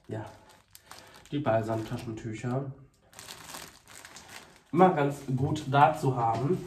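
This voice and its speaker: A young man vlogging casually at home with a cold, talking haltingly with many fillers into a phone held at arm's length. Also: medium pitch, creaky, darkish tone, slight background noise. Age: young adult. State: stuffy with a cold, coughing. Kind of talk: casual daily vlog to camera. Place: small home kitchen and flat indoors. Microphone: handheld phone at arm's length.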